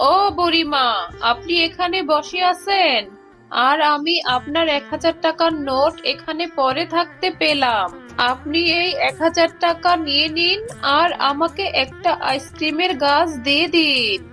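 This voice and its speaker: A woman's voice: high-pitched, a little slow, sharp tone, soft background music. A woman voicing a greedy, scheming fox wife in a cartoon, wheedling and theatrical. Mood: sly, wheedling. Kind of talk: cartoon dialogue.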